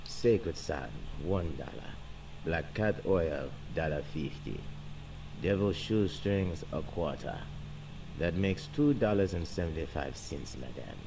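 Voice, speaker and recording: A man's voice: expressive, creaky, full character voice. An actor playing a guarded male voodoo shopkeeper with a put-on Haitian accent, formal and dismissive. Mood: businesslike. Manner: formal, dismissive, slow and low. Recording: distant game audio with noise.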